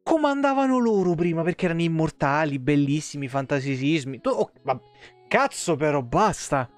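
Higher-pitched Roman man streaming game lore. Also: moderate pace. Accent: Roman Italian. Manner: expressive, informal, swearing in asides. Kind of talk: reading game lore aloud with casual live commentary. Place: indoor room, home streaming setup. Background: background music with guitar.

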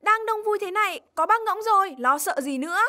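A woman playing a little baby chick in a cartoon, with a squeaky, childlike voice. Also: expressive, fairly quick, sharp tone, full character voice. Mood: carefree. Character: carefree little baby chick, timid and polite. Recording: music behind the voice.

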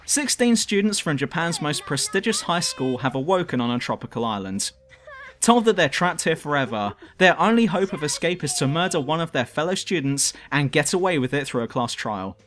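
A man's voice: fairly high pitch, very animated, fairly quick, a bit bright, soft background music. A man reading scripted review narration as a video reviewer.

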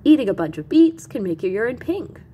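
A woman reading educational material aloud as a teacher, with animated emphasis and carefully separated lists. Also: on the high side, fairly quick, a little hiss or hum. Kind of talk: expressive educational read-aloud.

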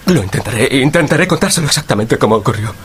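An actor playing a cowardly, nervous thief in a radio drama, pleading and justifying himself. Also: male voice, medium pitch, animated, rapid-fire, a little hiss or hum, close to an everyday voice. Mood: nervous confessing.

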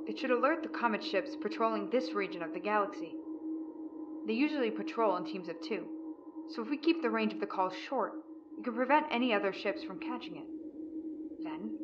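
A woman's voice: medium pitch, lively intonation, fairly quick, highs cut off, background noise, natural voice. An actress playing a tough, commanding female rebel captain in a sci-fi audio drama. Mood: calm, planning. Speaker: voice actor.

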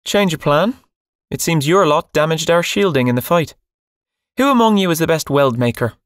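Stern young pirate captain for an audiobook, higher voice, cold and clipped, voiced by a male narrator. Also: lively intonation, moderate pace, a bit bright, smooth, no room sound, studio quality. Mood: brisk, commanding. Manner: clipped, curt commands.

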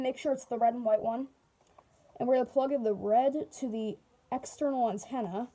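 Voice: medium pitch, a bit bright. A speaker giving a casual how-to demonstration, talking informally and directly to viewers while working with gear. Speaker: hobbyist video maker demonstrating weather radios. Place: small carpeted room at home, indoors. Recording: noisy handheld home recording with clicks.

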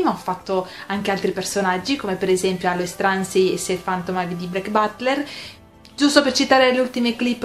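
A young woman giving a casual greeting to camera in a room at home. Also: medium pitch. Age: young adult. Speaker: amateur voice actor. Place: indoor room with bookshelves.

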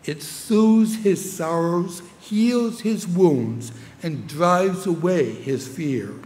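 An older man with a New England accent, preaching a measured sermon from a church pulpit microphone, lifting his voice on scripture lines. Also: sits high, darkish tone. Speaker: pastor. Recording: long church reverb.

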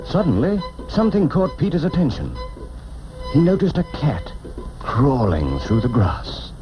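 Animated male storyteller over loud music. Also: medium pitch, a little slow. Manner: theatrical, expressive storytelling. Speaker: narrator. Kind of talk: dramatic children's storybook narration for an animated film. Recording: old cartoon film soundtrack, loud music. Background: orchestral music.

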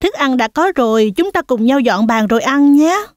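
A woman's voice: high-pitched, expressive, normal speed, a little mellow. A woman voicing a loving mother goat in a cartoon, tender and reassuring. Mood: caring.

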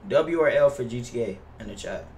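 A man's voice: medium pitch, animated, brisk, darkish tone, recorded from a distance. A young man chatting casually on a live game stream, slangy and profane, often complaining. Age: young adult. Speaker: livestreamer playing a basketball video game. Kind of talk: casual live stream chat and gameplay reaction. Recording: roomy echo, off-mic, noisy with keyboard clicks.

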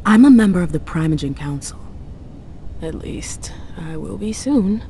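A woman's voice: on the low side, expressive, measured pace, vocal fry, dark tone, a little hiss or hum. An actress playing a determined, persuasive female vampire in a video game cutscene, assertive and blunt. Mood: earnest, reassuring.

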